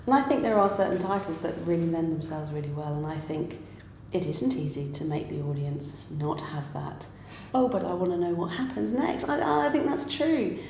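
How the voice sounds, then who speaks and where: A woman's voice: fairly low pitch, normal speed, few pauses, darkish tone. A woman, a pantomime writer and director, giving her views in an earnest, emphatic way. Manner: earnest, emphatic, occasional laugh. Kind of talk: conversational discussion. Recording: echoey room with faint background noise.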